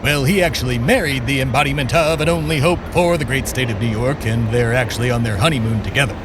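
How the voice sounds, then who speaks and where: A man's voice: mid-range voice, fast talker, noisy background, close to an everyday voice. A man playing a pompous, whimsical immortal host in a comedic audio drama, with theatrical, grandiose phrasing and casual asides. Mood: pompous, breezy. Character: immortal, pompous, whimsical male American-embodiment podcast host. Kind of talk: comedic audio drama and mock-broadcast narration.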